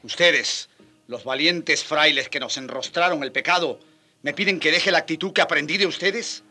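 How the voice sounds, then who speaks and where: An actor playing a fiery, earnest older priest in a radio drama, with an emphatic, preaching manner. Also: male voice, a little high, normal speed, pushed and bright, faint music behind. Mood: indignant.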